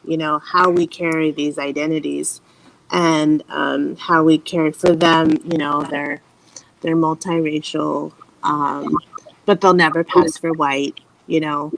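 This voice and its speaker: A woman's voice: sits low, flat delivery, slow, gravelly, slight background noise, poor audio. Earnest woman reflecting on family. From Philippines. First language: Tagalog. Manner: earnest, reflective, many "you know" fillers. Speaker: guest parent. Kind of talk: casual panel conversation. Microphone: video call from a hotel room.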